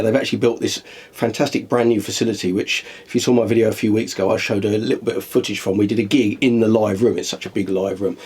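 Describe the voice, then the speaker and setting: A man's voice: on the low side, a little mellow, husky. A middle-aged man, a musician talking casually to camera with a chatty, dry self-deprecating humour. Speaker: musician vlogger.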